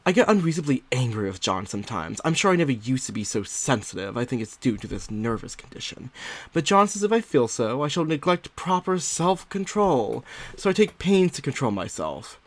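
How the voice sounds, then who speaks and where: A man voicing an anxious young Victorian wife confiding in her diary, in an expressive, dramatic audiobook reading with drawn-out words and pauses. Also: medium pitch. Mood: fretful.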